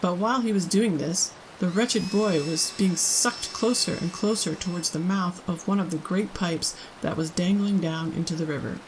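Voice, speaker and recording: A woman storytime host with a slightly low voice. She reads children's fiction aloud as an expressive narrator, addressing her listeners directly. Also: moderate pace, hardly any pauses, a little hiss or hum, low-quality recording.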